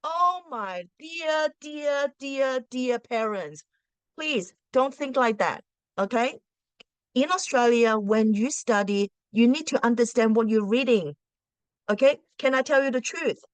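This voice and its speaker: A teacher whose first language is Cantonese, giving parents casual advice in a chatty way, full of rhetorical questions and restarts. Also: female voice, on the high side, lively intonation, unhurried, clear, resonant tone, no room sound.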